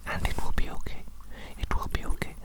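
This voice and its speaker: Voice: fairly quick, lightly acted. A performer voicing an eccentric, doting evil doctor in a whispered ASMR roleplay, repeating phrases in soothing, formal wording. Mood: doting, reassuring. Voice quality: breathy unvoiced whisper. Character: eccentric evil mad scientist doctor, doting creator, obsessed with science.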